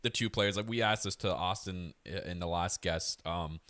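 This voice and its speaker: Dry, close sound frames a man with a very deep voice. He co-hosts a sports show casually, with halting delivery as he assembles his questions. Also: fairly bright tone, dry recording.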